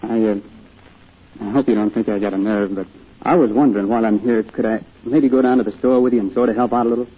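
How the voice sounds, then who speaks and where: An American actor playing a young orphaned sailor, earnest, shy and polite, speaking hesitantly in 1940s radio drama style. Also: male voice, mid-range voice, fairly even intonation, quick pace, close to an everyday voice. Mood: humble, hopeful. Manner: hesitant, humble, folksy. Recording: tinny, noisy old radio broadcast.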